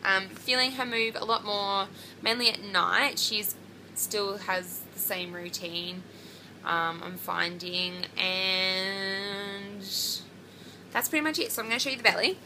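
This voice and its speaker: A woman's voice: mid-range voice, steady tone, slow pace, pushed and bright, slight background noise, low-quality recording. A woman, chatting casually to camera about her pregnancy in a rambling way with lots of ums and likes. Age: 20s. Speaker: pregnancy vlogger.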